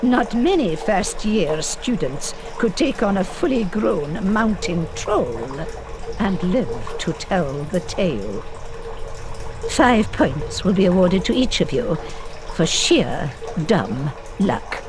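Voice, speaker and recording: A woman's voice: lowish voice, big pitch swings, slow pace. An actress playing a prim, stern older witch schoolteacher in a video game, precise and formal. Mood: stern, disappointed.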